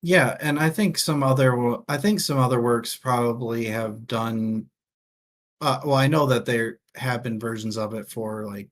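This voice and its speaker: A man's voice: mid-range voice, steady tone, normal speed. Man thinking aloud in casual discussion. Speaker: co-host of a book discussion show.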